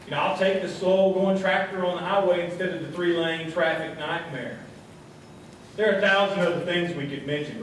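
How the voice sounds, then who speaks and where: Male live radio show host, folksy, higher-pitched, distant in a strongly reverberant hall. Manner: folksy, addresses the audience as folks. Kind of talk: live variety show hosting, folksy monologue. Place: live show before an audience. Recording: echoey hall, distant mic, faint crowd noise.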